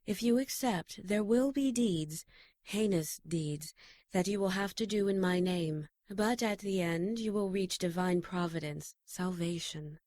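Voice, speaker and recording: Low, mellow female guardian angel, played by a woman. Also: no echo, close to an everyday voice. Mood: solemn. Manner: solemn, measured. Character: solemn female guardian angel, calm and commanding. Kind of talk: audio drama acting.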